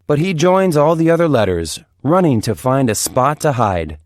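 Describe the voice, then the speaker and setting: A woman narrating a children's storybook in an expressive read-aloud style. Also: rumbling low, normal speed, no room sound, studio quality.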